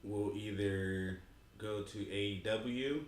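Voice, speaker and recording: A laid-back man with a lowish voice, chatting and teasing on a podcast. His delivery is dry and unhurried. The room is echoey, with a faint hiss underneath. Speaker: podcast co-host. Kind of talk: casual podcast chat.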